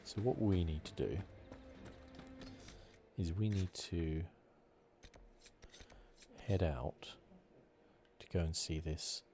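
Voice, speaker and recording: A soft-spoken man giving relaxed, unhurried ASMR commentary as he plays a video game, thinking aloud as he goes. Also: deep voice. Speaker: gaming channel host. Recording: game music behind the voice. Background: video game music.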